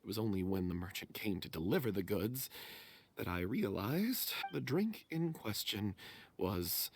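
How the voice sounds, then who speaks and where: A man's voice: on the low side, very animated, a little slow, slightly rough, heavily acted. A man playing a guilt-ridden member of a theater troupe, speaking in remorseful, dramatic confession. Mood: regretful, explaining. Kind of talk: dramatic voice acting.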